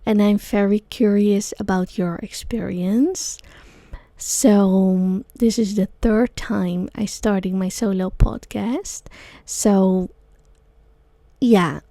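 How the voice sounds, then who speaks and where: A woman from the Netherlands talks in English with a Dutch accent, pausing often to find her words. Her voice is soft and mellow, and her pace is slow and unhurried. She is recorded dry and close, with faint music beneath her. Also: mid-range voice, steady tone, no room sound. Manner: slow, searching phrasing with many fillers. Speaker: podcast host, sound bath guide. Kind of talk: solo podcast monologue. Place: small studio.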